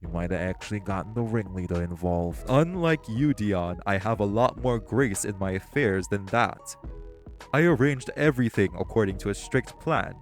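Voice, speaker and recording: A man voicing hostile crime bosses in an audio roleplay drama, profane and confrontational, with soft music behind. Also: lowish voice, moderate pace, natural voice. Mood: sneering. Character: hostile male crime bosses, magic gangsters.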